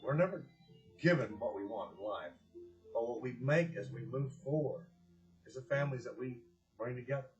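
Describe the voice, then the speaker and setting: A man with a mid-pitched voice speaks as a presenter in an earnest, heartfelt way. He phrases things deliberately, leaving pauses as if addressing listeners or reading a storybook aloud. Music plays clearly behind him. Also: a little mellow, lo-fi sound. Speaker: director of a community organization, presenter.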